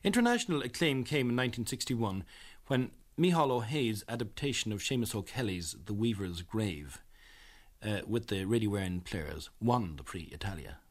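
Irish man, fairly low voice, lively intonation, measured earnest radio documentary narration. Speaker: presenter.

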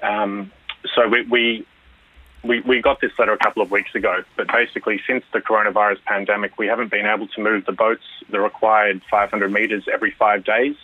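A man calling in to a radio show, explaining his situation calmly and matter-of-factly. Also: on the low side, fairly quick, no echo. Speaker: caller. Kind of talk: radio phone-in call. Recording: dry tinny phone line.

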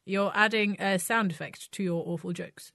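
A woman's voice: medium pitch, fairly even intonation, normal speed, gravelly, a bit bright, no echo, studio quality. A woman from Belgium co-hosting a show, teasing her partner with a wry, bouncy delivery. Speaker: co-host. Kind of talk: playful scripted banter.

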